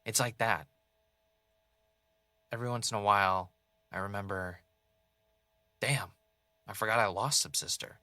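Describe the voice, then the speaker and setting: A man's voice: on the low side, measured pace, pauses often, sharp tone, dry recording, faint music behind. A man playing a former reality show contestant turned podcast host, haunted and defensive, in naturalistic audio drama dialogue. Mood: wistful, aching.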